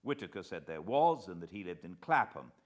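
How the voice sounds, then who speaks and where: British guy reading a classic story out loud, low voice, really animated. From Britain. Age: middle-aged. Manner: measured, deliberate, expressive. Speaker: audiobook narrator. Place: living room armchair beside a fireplace.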